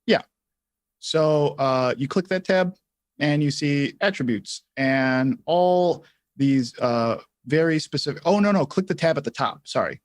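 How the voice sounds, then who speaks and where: A man hosting a casual live software tutorial, thinking aloud in a halting way as he explains things step by step. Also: on the high side, no room sound, studio quality. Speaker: livestream host and software instructor.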